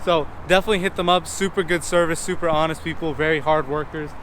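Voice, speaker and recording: A man's voice: on the high side, fairly even intonation, fast talker, fairly bright tone, slight background noise. A young man chatting casually and enthusiastically in slangy speech as he hosts a car vlog. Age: young adult. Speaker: car vlogger, host.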